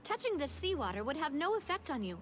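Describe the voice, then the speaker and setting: Young courtroom accuser, high voice, earnest, pointed, deliberate, anime game, music behind. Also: female voice, big pitch swings. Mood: pointed accusation. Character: assertive young female amateur prosecuting attorney.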